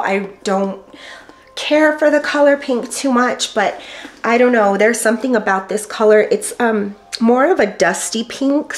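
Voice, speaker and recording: American woman vlogger, kinda raspy from being sick, rambly with ums, small room. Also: mid-range voice. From Texas. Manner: chatty with many ums, gushing about her bags. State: raspy from illness. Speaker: handbag vlogger. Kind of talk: casual collection show-and-tell. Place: small indoor room against a plain wall.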